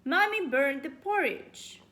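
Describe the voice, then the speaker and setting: Lady doing a nosy suspicious bear from a kids book, high pitched, blunt questions, really swoopy. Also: animated, slow pace, fairly bright tone, full character voice. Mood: matter-of-fact. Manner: interrogating, blunt. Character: suspicious, nosy hidden bear behind cottage door.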